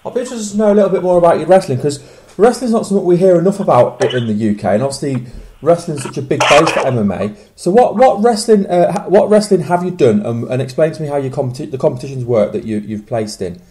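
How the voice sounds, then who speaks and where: A man hosting an interview, asking questions quickly and often restarting his words. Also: fairly high pitch, expressive, warm tone, slight background noise. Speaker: interviewer host.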